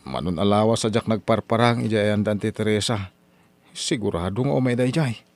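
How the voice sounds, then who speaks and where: A man's voice: lowish voice, brisk, no echo, natural voice. A man voicing Pidong, a stubborn young farmer who pleads and apologizes in a radio drama. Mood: protesting.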